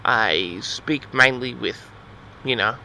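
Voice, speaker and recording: A man's voice: medium pitch, takes it slowly, creaky, sharp tone, slight background noise, low-quality recording. A man telling a story casually as host of an audio log, rambling in an awkward, flustered, exasperated way.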